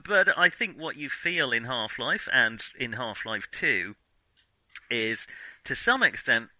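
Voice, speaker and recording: A man co-hosting a casual film discussion, halting and hedging as he restarts his sentences mid-thought. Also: medium pitch, animated, pushed and bright, no echo, rough recording. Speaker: podcast co-host.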